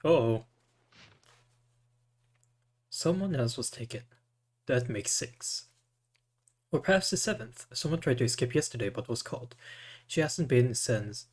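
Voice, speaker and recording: A man streaming a game, commenting casually and reading aloud in a halting way, stumbling over words. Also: medium pitch, expressive, husky.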